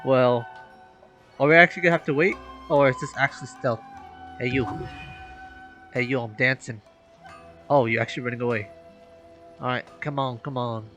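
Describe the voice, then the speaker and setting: A man's voice: medium pitch, fairly even intonation, moderate pace, long pauses, fairly bright tone, faint music behind. A man giving casual gameplay commentary, slangy and exclaiming, talking back to the game. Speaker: gaming streamer.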